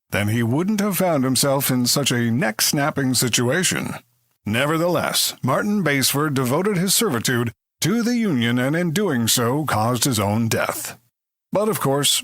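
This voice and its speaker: Droll storyteller for space comedy: wry, pompous, lively intonation, dry studio sound. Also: male voice, medium pitch, normal speed, dry recording. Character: droll, wordy storybook-style sci-fi narrator. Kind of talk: scripted comic narration.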